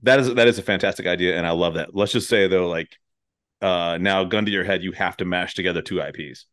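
A man with a fairly low voice hosts a casual comedic conversation. He rambles from thought to thought in a jokey way and swears freely. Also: a little fast, fairly bright tone. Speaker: podcast host.

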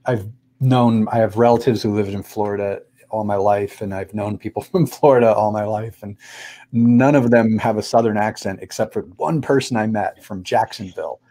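A man's voice: fairly low pitch, normal speed, warm tone. A man hosting a live online interview, speaking in a friendly way and reading out viewer comments. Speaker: host.

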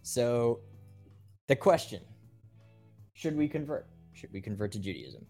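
A man's voice: medium pitch, sing-song, takes it slowly, creaky, darkish tone, faint music behind. A man co-hosting a casual religious discussion podcast in an easygoing, conversational way. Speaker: podcast co-host.

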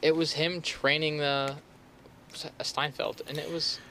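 A man's voice: a little high, level tone, measured pace, pushed and bright. A man co-hosting a movie podcast, chatting casually with slang and the odd swear word. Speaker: podcast host.

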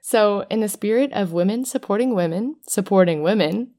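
A woman's voice: medium pitch, normal speed, mellow. Upbeat woman interview host. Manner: upbeat, encouraging. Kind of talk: conversational interview.

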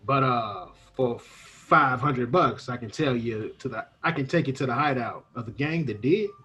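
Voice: mid-range voice, moderate pace, bright, forceful tone, breathy edge. An actor playing a wary, streetwise small-time male informant in a comic old-time radio play, slangy and tough-talking. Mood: shady, wheedling.

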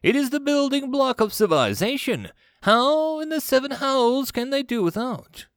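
A man's voice: extremely high pitch, sing-song, normal speed, smooth, dry recording, clean studio sound, voice pushed up. A man voicing an imperious, easily exasperated alien fleet commander in a sci-fi story, with a pompous, snarling delivery. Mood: snapping, exasperated. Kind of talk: sci-fi audio story character.

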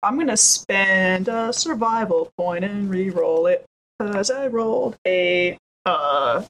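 Woman playing an rpg, normal pitch, jokey and wry, just chatting through her moves. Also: lively intonation, slow, fairly bright tone, slight background noise, low-quality recording. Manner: playful, wry. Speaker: player in a tabletop game. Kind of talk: casual, jokey game table chat.

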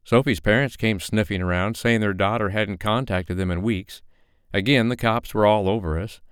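A man's voice: fairly low pitch, moderate pace, clean tone, no room sound, clean studio sound, pitched above the performer's own voice, lightly acted. A man voicing a haughty, catty woman in an audiobook, irritated and scornful in manner. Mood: gossipy, scornful. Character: haughty, catty statuesque woman, sharp and dismissive.

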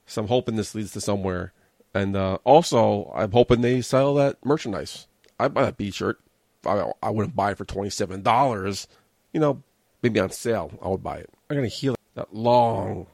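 A man's voice: fairly low pitch, vocal fry, darkish tone, dry recording, clean studio sound. An American man hosting a casual solo review show, rambling through his opinions in a sardonic way. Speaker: host of a wrestling review show. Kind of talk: casual solo commentary on wrestling shows.

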